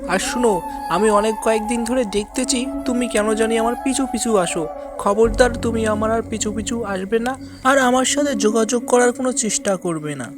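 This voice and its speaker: Man putting on a high, scornful young woman. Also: moderate pace, close to an everyday voice. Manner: haughty, cutting, insulting. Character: scornful young woman rejecting a poor suitor. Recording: loud music under the voice.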